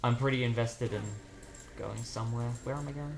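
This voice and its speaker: A man's voice: mid-range voice, steady tone, brisk. A man hosting a let's play with casual, jokey gaming banter in a rambling, exclamatory way. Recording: voice over game music. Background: video game music.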